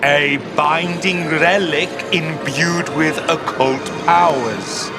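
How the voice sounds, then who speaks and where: An actor voicing a menacing, boastful ghost villain in a video game, with a pompous, taunting manner. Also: male voice, fairly high pitch, slow pace, gravelly, music in the background. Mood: scornful, menacing.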